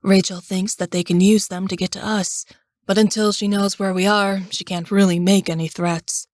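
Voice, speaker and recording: A woman voicing a gentle teenage boy, soft and calm as he reassures his sister. Close, dry storybook sound. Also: medium pitch, normal speed, no echo, lightly acted. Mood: reassuring.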